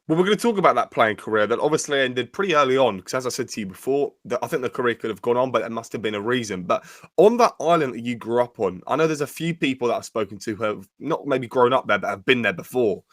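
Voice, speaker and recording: A man hosting an interview podcast, asking long rambling questions in a brisk way. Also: medium pitch, animated, no echo, clean studio sound. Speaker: podcast host.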